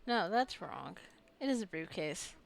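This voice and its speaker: A woman's voice: on the low side, big pitch swings, unhurried, creaky. A woman giving casual gameplay commentary, rambling and thinking aloud as she plays. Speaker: gamer narrating her own gameplay.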